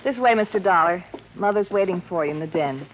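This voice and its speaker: An actress playing a pert, flirty, youthful younger sister in a 1950s radio drama, breezy and teasing. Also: female voice, on the low side, animated, fairly quick, lightly acted. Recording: dull, faintly noisy vintage radio recording.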